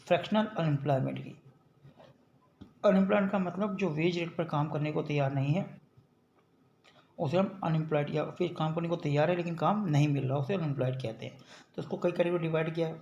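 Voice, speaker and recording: A male teacher giving an economics lesson, reading English definitions slowly and explaining them quickly. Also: a little high, creaky, darkish tone, muffled recording. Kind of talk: classroom economics lecture. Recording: echoey room with faint ambient noise.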